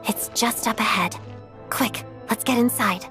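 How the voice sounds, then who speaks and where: A woman's voice: on the high side, a little slow, bright, forceful tone, faint music behind. A woman voicing a resolute young female agent in a video game, composed and purposeful in her delivery. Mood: resolute, urgent. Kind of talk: video game cutscene acting.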